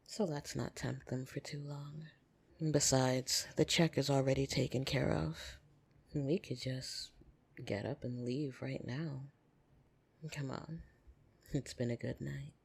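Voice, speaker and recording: Husky, slow-talking flirtatious vampire, acted out in ASMR. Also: medium pitch, exaggerated voice. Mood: polite, coaxing. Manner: teasing, soft-spoken, often dropping into breathy whispers. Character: flirtatious, possessive upper-class vampire on a dinner date. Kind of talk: ASMR roleplay, intimate second-person monologue.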